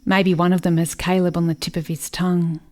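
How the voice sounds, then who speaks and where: An actress as a low-voiced, reflective grieving sister. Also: female voice, little pitch movement, mellow, natural voice. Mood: wistful. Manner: reflective, measured. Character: grieving, watchful country sister narrating memories. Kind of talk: dramatic scripted narration in radio play.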